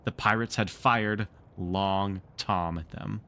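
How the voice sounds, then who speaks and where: A man narrating a storybook read-along with expressive, theatrical storytelling. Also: lowish voice, takes it slowly. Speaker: streamer reading a book aloud.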